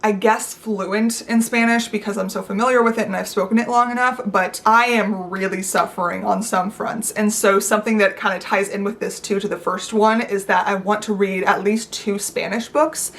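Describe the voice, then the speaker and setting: A woman's voice: medium pitch, steady tone, moderate pace, vocal fry, few pauses, fairly bright tone. A young woman talking to her viewers in an unscripted, rambling vlog chat with playful asides. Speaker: YouTuber. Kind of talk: unscripted casual chat to camera.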